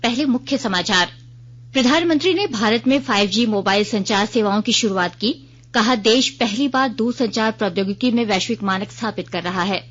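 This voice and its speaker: An Indian-accented woman reads a formal radio news bulletin from a script. Her voice sits a little high in pitch. The broadcast sound is somewhat muffled and lo-fi. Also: brisk, fairly bright tone. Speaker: news reader. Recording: dull, band-limited radio broadcast sound.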